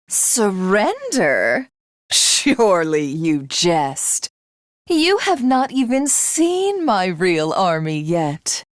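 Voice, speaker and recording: Vengeful game villainess, living-god alien warlord, unhurried, theatrical, formal diction, very animated intonation. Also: female voice, medium pitch, dry recording, clean studio sound. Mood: grand, threatening. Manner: imperious, mocking, formal archaic diction.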